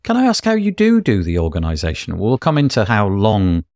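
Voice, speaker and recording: A man with a deep, low voice asks long, winding questions in a courteous, slightly apologetic way. The recording is dry and close, with no room echo. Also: huge pitch swings, a little fast, darkish tone. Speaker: interviewer. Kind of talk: podcast interview.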